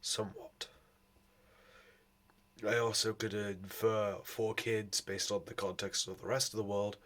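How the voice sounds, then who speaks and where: A man with a sore, hoarse throat, rambling casually in a vlog, swearing and laughing often. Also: on the low side, flat delivery, a little slow, fairly bright tone. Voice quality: hoarse, voice cracking. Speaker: vlogger.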